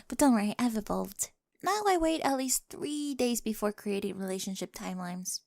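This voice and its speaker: Woman playing a creaky-voiced, smitten, quirky teenage girl. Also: medium pitch, lively intonation, normal speed, darkish tone, dry recording, studio quality. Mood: quirky, confessional.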